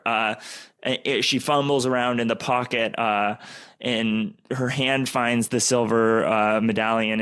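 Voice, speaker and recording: A man improvising story narration as a podcast performer, halting as he searches for the next phrase. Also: medium pitch, fairly bright tone.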